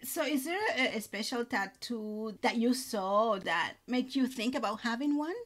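A woman's voice: fairly high pitch, lively intonation, a little slow. A woman speaking as an upbeat, welcoming podcast host, introducing and interviewing a guest.

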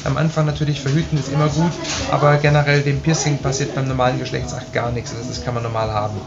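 A man's voice: mid-range voice, level tone, fast talker, vocal fry. A man in his forties with an Austrian accent, explaining piercing aftercare to the camera in a chatty, reassuring way, with many fillers, in a small tiled studio room. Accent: Austrian German. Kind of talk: casual explainer tutorial. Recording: dull sound with loud background hiss.

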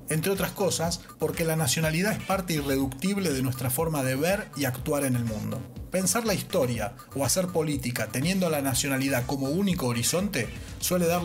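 Argentine man narrating, fairly high voice, music behind. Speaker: podcast host, social sciences teacher. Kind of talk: scripted podcast narration.

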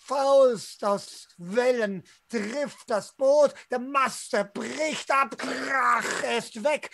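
Gravelly, slow theatrical male storyteller. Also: very high-pitched, a bit bright, no echo. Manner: theatrical, drawn-out, intense delivery. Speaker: game master, storyteller. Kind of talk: dramatic tabletop role-play narration. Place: small room at home, online video call.

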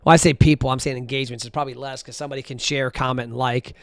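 A man's voice: mid-range voice, little pitch movement, rapid-fire, dry recording, clean studio sound. A man hosting a solo podcast, talking bluntly and casually, sometimes counting numbers aloud as he scrolls. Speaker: podcast host.

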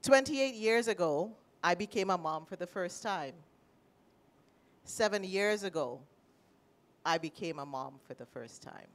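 Animated Trinidadian woman on a panel. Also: fairly low pitch, unhurried. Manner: animated, emotional, with laughs. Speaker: panel speaker. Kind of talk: personal talk at a panel. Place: panel stage in a large indoor room. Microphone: handheld mic.

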